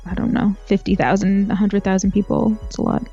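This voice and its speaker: A woman's voice: medium pitch, fairly even intonation, brisk, creaky, mellow, husky, dull audio, faint music behind. A woman chatting casually as a podcast guest, giggly, self-mocking and free with swearing. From Canada. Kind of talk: casual chat.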